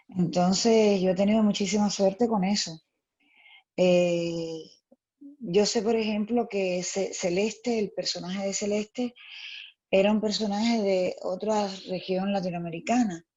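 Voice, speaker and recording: A woman with a Cuban Spanish accent, answering interview questions thoughtfully with many pauses and fillers. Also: lowish voice, dark tone, lo-fi sound. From Cuba. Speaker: actress, interview guest.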